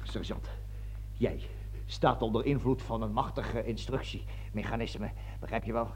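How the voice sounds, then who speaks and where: An actor in a radio play voicing a self-important American army colonel who stammers, cajoles and barks orders. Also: male voice, lowish voice, steady tone, a little slow. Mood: coaxing. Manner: pompous, stammering, cajoling, switching between whispers and barked orders. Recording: noisy old radio recording with hum.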